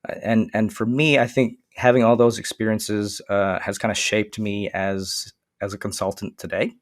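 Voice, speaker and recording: A man whose first language is Vietnamese, a guest on a casual podcast interview, explaining his work in an earnest, steady way. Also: fairly low pitch, normal speed, no echo, clean studio sound. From Michigan, USA. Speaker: guest, STEM consultant.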